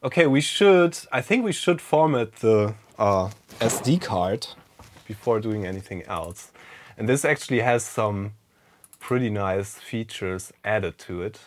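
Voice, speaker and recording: A man's voice: fairly low pitch, expressive, a little slow. A German man, native German speaker, hosting a casual retro computer walkthrough, thinking aloud with many fillers. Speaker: retro computing video host. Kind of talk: casual hands-on tech walkthrough.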